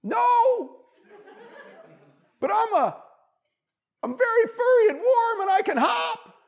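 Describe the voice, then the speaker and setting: Storybook bunny male performer: small, lonely, earnest, eager, pitched well up high. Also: sing-song, vocal fry, heavily acted. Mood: eager, hopeful. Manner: hollers in drawn-out, eager phrases.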